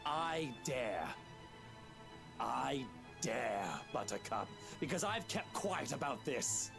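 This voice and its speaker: An actor playing an arrogant, foul-mouthed, hot-tempered husband in a visual novel, sneering and belligerent. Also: male voice, medium pitch, expressive, slow pace, vocal fry. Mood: taunting. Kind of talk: dramatic visual novel voice acting. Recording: game music behind the voice. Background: game music.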